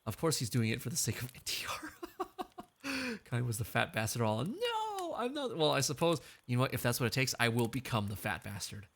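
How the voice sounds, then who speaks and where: A male streamer with a gravelly edge to his voice, chatting in a relaxed, joking way between songs. His pitch swings widely as he teases his chat and reads comments aloud. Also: fairly quick, slight background noise. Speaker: VTuber streamer.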